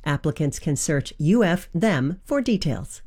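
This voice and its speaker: A woman's voice: sits low, lively intonation, a little slow, a little mellow, husky. A woman reading a scripted sponsor message as a radio announcer.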